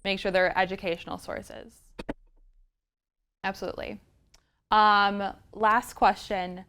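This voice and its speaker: A woman's voice: medium pitch, flat delivery, takes it slowly, pushed and bright, a little hiss or hum. A woman hosting an interview, asking questions and affirming her guest's answers. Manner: encouraging, affirming. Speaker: interview host.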